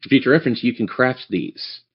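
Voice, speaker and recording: A man's voice: mid-range voice, lively intonation, fairly quick, breathy edge. A man narrating a tabletop game as game master in a casual, improvised way. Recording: dull lo-fi band-limited audio.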